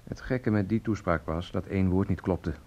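A sceptical colleague in a Dutch radio drama. The voice is deep and rough-edged, with a questioning manner. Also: male voice, moderate pace, darkish tone, muffled recording. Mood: uneasy, probing. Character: sceptical, probing colleague at a broadcasting team. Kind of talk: Dutch radio play acting.

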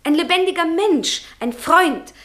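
An actress playing a passionate, defiant young student in a radio play, fervent, emphatic and teasing. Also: female voice, very high voice, expressive, unhurried, fairly bright tone, exaggerated voice. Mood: rapturous. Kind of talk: radio drama acting.

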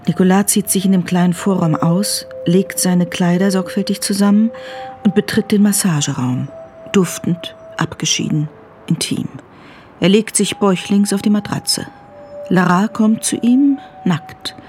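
A woman narrates scripted drama in a measured, unhurried way. Her voice is mid-range, with faint music underneath. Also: medium pitch, a little mellow. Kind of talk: scripted present-tense narration.

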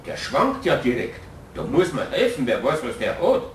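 Officious Bavarian friend, insistent, animated pitch, echoey room, slight noise, radio drama. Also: male voice, normal speed, far from the mic. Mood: concerned, insistent. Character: concerned, officious Bavarian card-playing friend. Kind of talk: German radio play acting.

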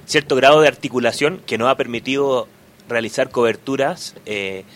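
A man's voice: medium pitch, fairly bright tone, slight background noise. Man from Chile, radio guest. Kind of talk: radio discussion.

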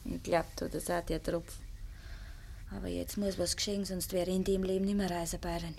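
An actress playing a scheming, headstrong and impatient young peasant maid in a 1950s Bavarian dialect radio drama. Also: female voice, lowish voice, flat delivery, fairly quick, gravelly, a little mellow. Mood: calculating. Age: young adult. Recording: noisy vintage 1950s radio recording.

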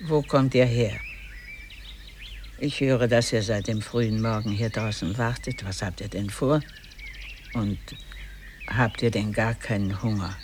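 An actress playing a kindly, chatty, scolding old aunt with a husky voice and courteous, expressive radio drama delivery. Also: female voice, a bass voice, measured pace, pauses often, slight background noise. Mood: curious, welcoming. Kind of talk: 1950s German radio drama acting.

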